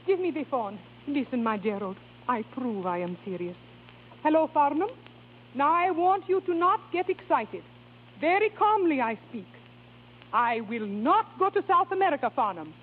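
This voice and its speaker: An actress playing a passionate opera singer in love, speaking grand, halting, foreign-tinged English in a 1930s radio play. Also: female voice, high-pitched, lively intonation, slight background noise. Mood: imperious, firm. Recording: tinny 1930s radio, long reverb, music behind.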